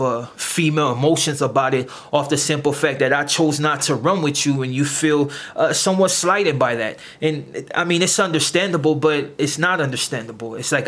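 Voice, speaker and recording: A man's voice: fairly high pitch, a bit bright. A man hosting his own channel, ranting straight to camera at his critics in a confrontational, lecturing way, often stumbling and repeating words as he searches for them. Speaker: channel host. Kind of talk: direct-to-camera rant.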